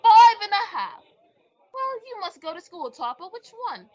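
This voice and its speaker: Jolly department-store Christmas character, extremely high voice, wildly animated sing-song gushing for kids, radio play. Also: female voice, moderate pace, lo-fi sound. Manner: exclaiming, sing-song, repeats children's answers. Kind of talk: old-time radio drama acting.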